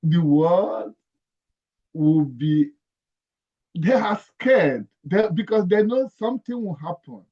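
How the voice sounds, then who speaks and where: A man's voice: high-pitched, expressive, takes it slowly, pauses often. A man with a Nigerian accent, a guest speaking earnestly and emphatically on a video call, often repeating his phrases. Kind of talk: conversational interview. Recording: dull lo-fi call audio.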